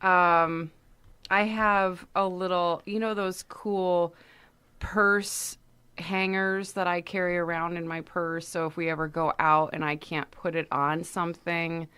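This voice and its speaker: A woman co-hosting a casual, playful chat show, with an animated and expressive way of talking. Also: on the low side, slow, smooth. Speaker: podcast co-host.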